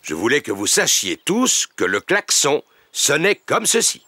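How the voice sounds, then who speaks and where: A man's voice: fairly high pitch, huge pitch swings, measured pace, pushed and bright, exaggerated voice. A man playing a jovial, playful daddy pig in a children's cartoon dub, exclaiming expressively. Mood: commanding. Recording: music bed behind the voice.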